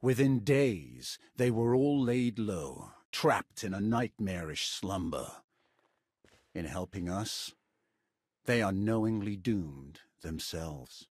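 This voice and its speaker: An actor playing a grave, formal warrior in a video game, speaking with solemn deliberation. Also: male voice, on the low side, animated, takes it slowly, darkish tone, breathy edge, dry recording, clean studio sound, exaggerated voice. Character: grave, dutiful male Sith warrior ally, menacing and formal. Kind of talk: video game cutscene acting.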